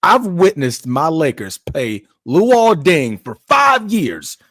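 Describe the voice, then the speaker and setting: A man's voice: on the high side, very animated, slow, fairly bright tone, dry recording, studio quality. A man in his thirties in casual podcast chat, emphatic and repeating his points. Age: 30s.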